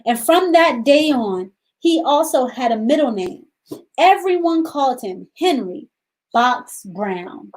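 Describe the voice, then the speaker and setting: A woman with a fairly high voice reads a picture book to children at a very unhurried pace. She leaves pauses between short phrases and checks in with her listeners through questions. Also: animated, darkish tone, rough recording. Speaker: storytime host reading aloud to children. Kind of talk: storybook read-aloud with questions to young listeners.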